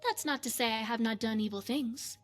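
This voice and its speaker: A woman voicing a poised, secretive retired spy and mage in a fantasy game, speaking in a measured, formal, coy way. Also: fairly high pitch, faint music behind, close to an everyday voice. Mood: devout, measured. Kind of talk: scripted fantasy game character dialogue.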